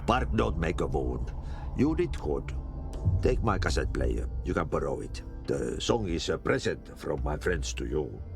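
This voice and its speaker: An actor playing a cryptic, amused old Finnish janitor in a video game, gravelly, folksy and fond of odd proverbs. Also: male voice, a bass voice, big pitch swings, music in the background, heavily acted. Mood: amused, kindly. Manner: slow, eccentric, speaking in mangled proverbs. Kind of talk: video game character acting.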